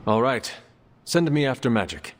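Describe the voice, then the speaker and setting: An actor playing a calm, earnest hero in a video game, plain-spoken and gently questioning. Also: male voice, medium pitch, normal speed. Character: earnest, supportive hero, calm and curious companion. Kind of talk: dramatic video game acting. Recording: clear background noise.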